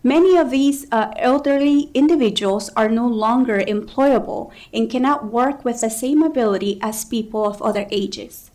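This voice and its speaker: A woman interprets consecutively at a council meeting, steady and formal with the odd "uh". Her voice sits a little above average in pitch. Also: normal speed, hardly any pauses. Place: county council chamber.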